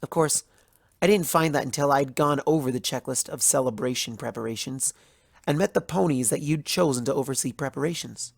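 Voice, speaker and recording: A narrator voicing a betrayed, bitter young female pony student in a measured, dramatic first-person story monologue. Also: mid-range voice, vocal fry, no room sound, clean studio sound. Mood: aggrieved. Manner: measured, dramatic, wounded, accusatory delivery.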